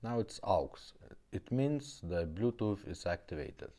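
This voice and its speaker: A man's voice: lowish voice, animated, measured pace, mellow. A man casually demonstrating a car stereo, talking in a plain, matter-of-fact way and often checking in with "okay?". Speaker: car radio repair host.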